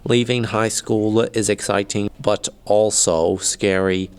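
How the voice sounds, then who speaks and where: A young man in his late teens reads a reflection in a halting, hesitant way. He speaks very slowly in a fairly low voice. The voice has gravel in it but stays clear. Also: fairly even intonation. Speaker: student leaving high school. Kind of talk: reading a prepared reflection.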